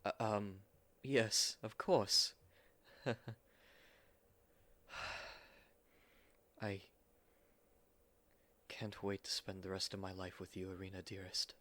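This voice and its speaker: A man playing an earnest, tender gentleman newlywed in a romantic audio drama, soft-spoken and hesitant, with a mournful sincerity. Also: sits low, fairly even intonation, slow, long pauses.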